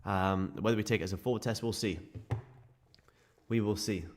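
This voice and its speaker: A man with a sore, croaky throat, casually walking viewers through live trading charts. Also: on the low side, fairly even intonation, moderate pace, a little hiss or hum. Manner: casual, chatty explaining to viewers. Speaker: day trading streamer.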